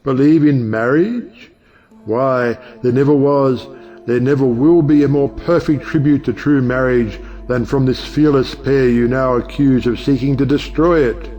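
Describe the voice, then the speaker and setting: A man acts out an eloquent stage-play judge with lofty ideals. His delivery is oratorical and grand, in a mid-pitched male voice over faint music. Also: fairly even intonation, measured pace, mellow, dull audio, lightly acted. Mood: impassioned. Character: eloquent, high-minded male judge defending lovers.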